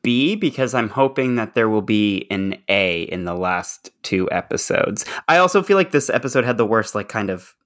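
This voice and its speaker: A man chatting casually as a podcast co-host, with informal, halting phrasing. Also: lowish voice, lively intonation, a bit bright.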